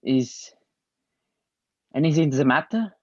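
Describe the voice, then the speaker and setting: A calm mentor lectures in a measured, deliberate way, probing gently, as a man reads him at a table read. The sound is dry and a bit dull, with no room around it. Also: on the high side, dry recording. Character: calm older male acting teacher, probing mentor.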